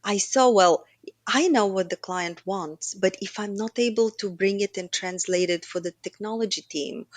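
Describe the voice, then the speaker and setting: A middle-aged woman from Bulgaria with a Bulgarian accent, a guest on a podcast, explaining her experience in a measured, structured way. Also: medium pitch, dry recording. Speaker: guest, operations executive. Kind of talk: podcast interview.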